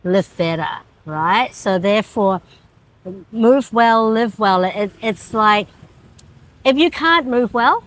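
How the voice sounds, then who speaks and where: A woman's voice: on the high side, lively intonation, slow, low-quality recording. A woman fitness coach in a small studio answering interview questions, eager and agreeable, restarting phrases and saying yeah often. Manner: warm, eager, agreeable, with many restarts and frequent yeahs. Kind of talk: casual interview.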